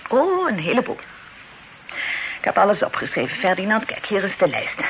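An actress playing a fussy, bossy middle-aged aunt in a radio drama, chattering and flustered. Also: female voice, medium pitch, huge pitch swings, normal speed. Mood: chatty, brisk. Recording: noisy old radio play recording.

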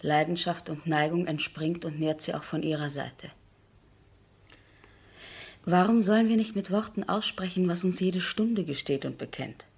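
Husky, composed wife. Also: female voice, on the low side, darkish tone. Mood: grave, reasoning. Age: middle-aged. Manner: measured, formal diction. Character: composed, resolute aristocratic wife, worried yet unyielding. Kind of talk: 1970s German radio drama acting.

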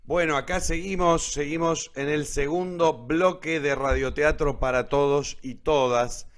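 An Argentine man hosting a live online show casually, in a rambling, halting way that often corrects itself. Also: on the high side, steady tone. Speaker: host of an online radio theatre show.

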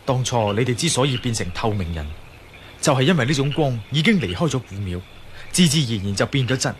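Man acting calm guy in charge explaining stuff, radio drama, bit of noise behind him. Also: medium pitch, a little fast, natural voice. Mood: calm, reassuring. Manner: explanatory, reassuring. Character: level-headed male adventurer, reassuring man in charge.

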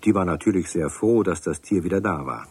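A man narrating a children's radio play in a calm, measured way. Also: deep voice, breathy edge. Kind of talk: scripted storybook narration.

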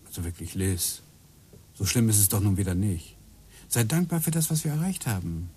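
A middle-aged husband in a radio play, obsessed with finding hidden patterns. He talks with eager, earnest insistence and a lively, wide-ranging pitch. His voice is mellow and quite husky. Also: normal speed. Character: obsessive husband hunting coincidences.

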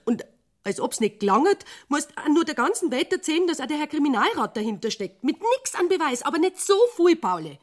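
A woman's voice: high voice, very animated, a little fast. An actress playing a reproachful, worried, down-to-earth woman with a Bavarian accent in a radio drama. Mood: exasperated scolding. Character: scolding, exasperated Bavarian woman who worries about him.

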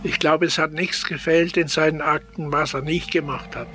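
A man giving an interview recollection, careful and halting, repeating himself as he goes. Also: fairly high pitch, fairly even intonation. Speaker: interviewed witness. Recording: noisy location interview.

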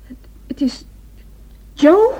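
A woman's voice: takes it slowly, warm tone, close to an everyday voice. A woman acting in a radio play as a caring, anxious wife, attentive and sometimes hesitant. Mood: flustered, anxious. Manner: attentive, hesitant when flustered. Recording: muffled, noisy old radio recording.

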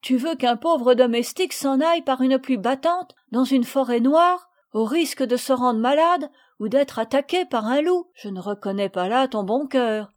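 A woman voicing a gentle but moralizing mother who gently reproves her daughter in a storybook reading. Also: sits high, expressive, moderate pace, few pauses. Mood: reproving. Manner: firm, reproving, then tender. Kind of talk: audiobook reading.

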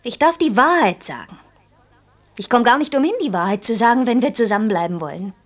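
A woman's voice: fairly high pitch, lively intonation, fairly quick, lightly acted. Actress as young working woman, outspoken, sensitive, defiant, earnest, intimate radio play dialogue. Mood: tender, hushed. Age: young adult. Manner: earnest, reproachful, shifting between insistent outbursts and hushed confiding. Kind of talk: German radio drama acting, intimate dialogue.

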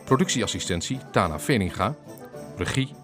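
Male radio announcer, deep-ish and animated, reading scripted credits, music underneath. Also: moderate pace. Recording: clear music behind.

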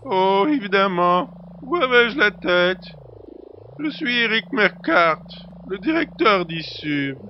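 A man's voice: high-pitched, sing-song, slow pace, dull audio, a little hiss or hum, full character voice. A man playing an effusive, fussy factory director who repeats himself and rambles in a science-fiction audio drama. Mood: apologetic, polite. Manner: effusive, repetitive, long-winded.

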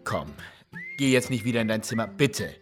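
A man's voice: fairly low pitch, animated, moderate pace, close to an everyday voice. An actor playing a harried husband who coaxes and pleads with his wife in a radio drama. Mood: pleading. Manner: coaxing, pleading. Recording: music under the voice. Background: music.

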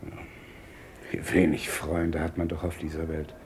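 An actor playing a cynical, gloating British financier in a vintage German crime radio drama, sardonic and theatrical. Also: male voice, rumbling low, monotone, measured pace, a little mellow, slight background noise. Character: cynical, gloating titled British financier scheming on the stock exchange.

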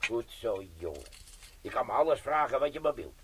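An actor playing a gruff veteran bomb disposal sergeant who swears and lectures in a radio play. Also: male voice, mid-range voice, very animated, a little fast, a bit bright, a little hiss or hum. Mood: lecturing.

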